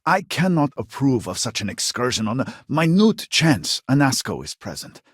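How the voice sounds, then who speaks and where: An earnest, exasperated male scientist from a sci-fi game. His husky voice swings widely in pitch as he speaks in formal, measured phrases. The recording is close and dry. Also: big pitch swings, no room sound. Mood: grave, concerned. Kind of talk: video game character dialogue.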